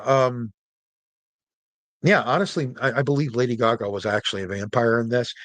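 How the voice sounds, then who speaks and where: A man's voice: mid-range voice, dry recording. A man giving casual solo commentary as a podcast host, informal and opinionated, with joking asides.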